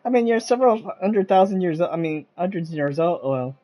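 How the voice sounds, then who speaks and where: A woman's voice: on the low side, expressive, a little fast. A woman giving casual, chatty, playful live commentary as she streams a game. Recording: dull, lo-fi mic. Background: game music.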